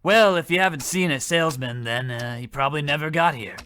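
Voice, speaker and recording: A man acts out a blundering radio-comedy policeman with a bright, forceful voice. He rambles along in a folksy, self-important way, oblivious and keen to help himself. There is clear noise behind the voice. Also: fairly high pitch, brisk. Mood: matter-of-fact. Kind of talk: old-time radio comedy acting.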